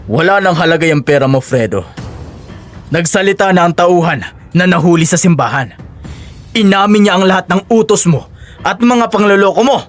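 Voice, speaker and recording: A man's voice: sits high, lively intonation, normal speed, faint music behind. A man playing an authoritative constabulary officer in an audio drama, commanding and formal. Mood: stern.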